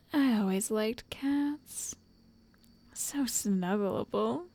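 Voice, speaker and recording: A lovestruck yandere witch played by a woman murmurs softly to the listener in an ASMR roleplay. Her voice is fairly high yet mellow, with creaky edges, and she sounds tender, coaxing and quietly controlling. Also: no room sound. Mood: musing, smug. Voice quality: breathy, soft, often whispering. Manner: slow, tender, condescending endearments.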